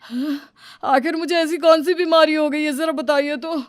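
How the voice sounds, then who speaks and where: A woman's voice: high-pitched, fairly even intonation, normal speed, dry recording, clean studio sound, natural voice. A woman voicing a panicking young husband in pain, with a whiny, pained voice for a cartoon. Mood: worried. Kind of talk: cartoon voice acting.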